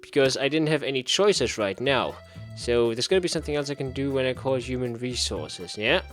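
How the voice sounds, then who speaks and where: Male gaming host, medium pitch, casual read-aloud playthrough style, talks to himself repetitively, soft music underneath. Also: animated, brisk. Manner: muttering to himself, repeating phrases. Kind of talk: casual playthrough commentary, reading game text aloud.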